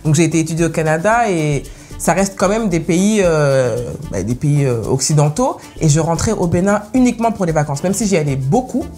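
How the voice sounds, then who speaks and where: A young woman with a Parisian French accent, chatting as an interview guest, lively, laughing often and cutting in on her partner. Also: deep voice, a little fast, soft background music. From France. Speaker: guest, content creator. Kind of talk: casual interview chat.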